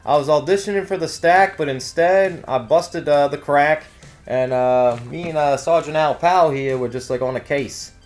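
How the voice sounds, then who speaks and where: A man's voice: fairly high pitch, normal speed, a little mellow, faint music behind. A man co-hosting a shop news show with clowning, casual comic banter. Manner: jokey, clowning. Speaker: co-host of a store news show.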